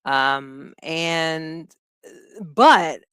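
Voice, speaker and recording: A woman from Philadelphia talks as an interview guest, pausing often and searching for her words. Her voice is smooth and clear, recorded close and dry with no room sound. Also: sits low, lively intonation, a bit bright, no room sound. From Philadelphia, USA. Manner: halting, searching for words with many pauses; laughs easily while talking. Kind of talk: reflective conversational interview.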